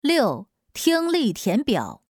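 A woman gives exam instructions slowly, with a lilting, lively pitch. Her voice sits fairly high and sounds formal and dry. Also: slightly rough, no room sound. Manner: slow, formal announcing.

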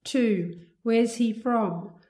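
A woman slowly reading English listening-test items with careful, clear enunciation. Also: fairly high pitch, warm tone.

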